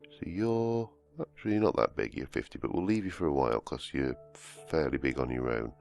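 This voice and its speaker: A man, croaky from a cold, hosting casual gameplay commentary and rambling as he plays. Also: a bass voice, expressive, normal speed. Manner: rambling, self-correcting, humming to himself. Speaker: let's-play host. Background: soft background music.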